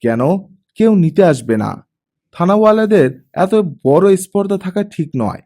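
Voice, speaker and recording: A man's voice: high voice, expressive, measured pace, mellow, voice pushed up, exaggerated voice. A man voicing an irritable, self-important father in an audiobook, grumbling with indignation. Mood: indignant.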